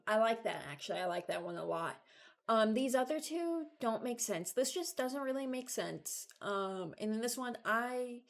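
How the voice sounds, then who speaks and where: Casual, rambling young woman commentator. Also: fairly high pitch. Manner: informal, rambling, candid. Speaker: video creator.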